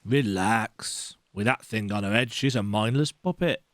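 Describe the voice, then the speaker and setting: Guy doing a stern calm army officer from a fantasy game, lowish voice, curt, very dry sound. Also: unhurried, no echo, natural voice. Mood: cold, commanding. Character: stern, calm, commanding male imperial officer.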